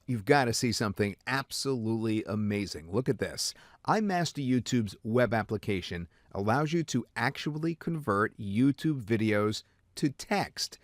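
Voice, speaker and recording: A man narrates a software demo in a lively, animated voice, leading the listener through each step. The sound is dry and close, with no room around it. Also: medium pitch, moderate pace, dry recording. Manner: step-by-step, instructive.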